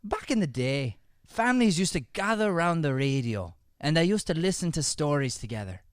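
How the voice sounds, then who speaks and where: A man's voice: a little high, big pitch swings, moderate pace, clear, resonant tone, no echo, clean studio sound. A man hosting a live improv show, chatting informally and encouraging the audience. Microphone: podcast microphone.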